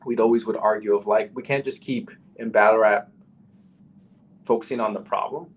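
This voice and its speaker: A man's voice: fairly low pitch, steady tone, normal speed, low-quality recording. A man with a nasal voice, a battle rapper talking casually as a podcast guest, full of "you know" and "like".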